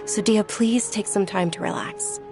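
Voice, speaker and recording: A woman voicing a gentle, frail young noblewoman in an anime video game, soft-spoken, kind and courteous. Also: mid-range voice, moderate pace, natural voice. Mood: wistful, reassuring. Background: game music.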